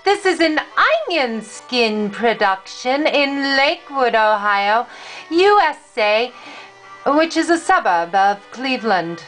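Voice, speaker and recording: An older woman reading a storybook aloud to a grandchild in a deliberate, dramatic, expressive manner. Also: fairly high pitch, soft background music, low-quality recording.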